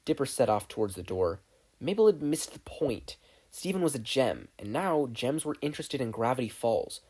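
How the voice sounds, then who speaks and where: Husky-voiced narrator reading a story expressively. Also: medium pitch, lively intonation, normal speed, a little mellow. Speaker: podfic narrator.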